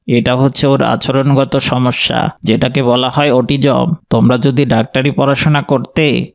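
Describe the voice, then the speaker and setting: A man's voice: medium pitch, gravelly, no room sound, clean studio sound, natural voice. A man playing an earnest, educated young doctor in a cartoon, lecturing his family reprovingly. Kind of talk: dramatized cartoon dialogue.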